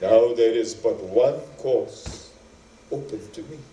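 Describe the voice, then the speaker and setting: A man's voice: medium pitch, slow pace, warm tone, reverberant, distant mic, a little hiss or hum, lightly acted, low-quality recording. A man playing a proud, wounded noble wife from an epic, speaking formally with indignant, pleading deliberation. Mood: hurt, indignant.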